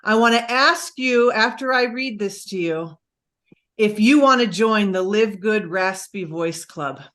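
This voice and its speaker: A woman giving an excited, energetic motivational talk on a team video call. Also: medium pitch, unhurried. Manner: energetic, enthusiastic, storytelling. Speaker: presenter on a team training call.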